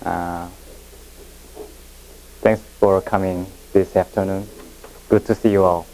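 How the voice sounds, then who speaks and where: A man from Korea with a Korean accent, a non-native English speaker giving a classroom presentation in a halting way, often restarting his sentences. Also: low voice, level tone, warm tone, a little hiss or hum, poor audio. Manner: halting, with frequent restarts and second-language phrasing.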